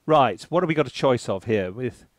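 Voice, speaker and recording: A man's voice: mid-range voice, lively intonation, moderate pace, no room sound, studio quality. A man giving a casual think-aloud music tutorial in a playful, self-deprecating, chatty way. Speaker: composer presenting a music software tutorial and review. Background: orchestral sample music.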